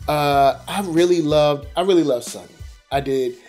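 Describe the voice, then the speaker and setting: American male host, fairly high voice, conversational slangy commentary, light music behind. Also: normal speed, darkish tone. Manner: conversational, slangy, addressing viewers directly. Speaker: host of an animation review show. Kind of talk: casual review commentary to camera.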